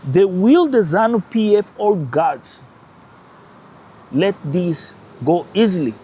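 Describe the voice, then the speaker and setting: A man with a Portuguese-speaking accent and Portuguese as his first language, hosting his own channel with emphatic, animated political commentary and brief laughs. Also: sits high, slow, slight background noise. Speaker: channel host.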